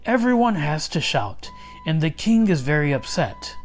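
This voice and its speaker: A man's voice: on the high side, big pitch swings, moderate pace, soft background music. A man playing an earnest storybook knight and husband in a dramatic manner. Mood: groaning, weary.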